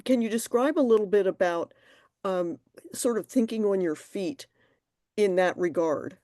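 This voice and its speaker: A woman's voice: mid-range voice, a little slow, dark tone, dry recording, studio quality. A woman interviewer asking thoughtful questions in a conversational way, sometimes restarting her phrases.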